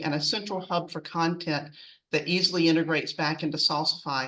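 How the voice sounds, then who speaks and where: A woman's voice: low voice, a bit bright, recorded from a distance, rough recording. A woman presenting in a semi-scripted, businesslike way as a customer speaker on a webinar.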